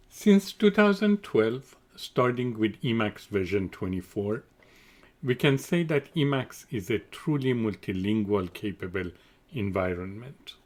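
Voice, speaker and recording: Man, middle-aged, unhurried, formal measured presenter. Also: medium pitch. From Iran. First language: Farsi. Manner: measured, deliberate, formal delivery. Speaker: conference presenter. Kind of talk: scripted technical presentation.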